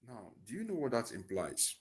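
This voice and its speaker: A man's voice: mid-range voice, wildly swooping pitch, normal speed, husky. A man tutoring physics in an online lesson over a headset on a video call, posing questions and answering them himself. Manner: asks leading questions and fills in the answers.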